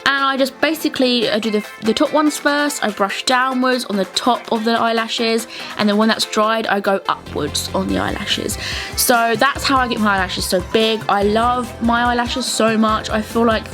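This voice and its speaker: A woman's voice: a little high, fairly even intonation, normal speed. A young British woman giving a casual, chatty, enthusiastic beauty tutorial voice-over. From England. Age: young adult. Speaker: beauty vlogger. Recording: music bed under the voice. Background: clear background music.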